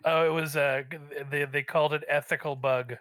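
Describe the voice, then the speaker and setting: A man's voice: fairly high pitch, steady tone, brisk, fairly bright tone, no room sound. A man chatting casually as a podcast guest, feeling his way through his thoughts and wandering off on tangents. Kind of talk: casual chat about movies.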